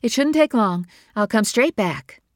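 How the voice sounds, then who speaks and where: A weary, stern mother, played by a woman reading a storybook, talks to her son. Her voice sits clearly higher and stronger than the narration, brisk and insistent. The recording is dry and close. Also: expressive, no echo. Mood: worried. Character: stern tired mother, woman, middle-aged.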